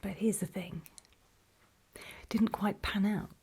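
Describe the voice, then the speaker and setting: A woman acts out a nervous female survivor of a zombie apocalypse in a horror ASMR roleplay. She speaks in a very breathy, husky whisper, slow and halting, with gravelly creak at the edges. She sounds apologetic and unsure of herself. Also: mid-range voice, fairly even intonation, mellow, full character voice. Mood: uneasy, earnest. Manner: hesitant, halting, self-conscious.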